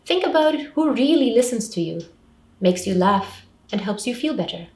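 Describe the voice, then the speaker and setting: A woman's voice: a little high, big pitch swings, normal speed, darkish tone. Woman giving advice in an echoey room. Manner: simple, clearly structured advice in short sentences. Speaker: refugee support counsellor presenting advice. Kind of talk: scripted public information talk. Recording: roomy echo, distant microphone.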